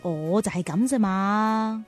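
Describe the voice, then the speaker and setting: A woman playing a caring, gently chiding big-sister teacher who hosts a children's radio drama. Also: mid-range voice, slow pace, husky, soft background music. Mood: coaxing, playful. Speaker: host and teacher.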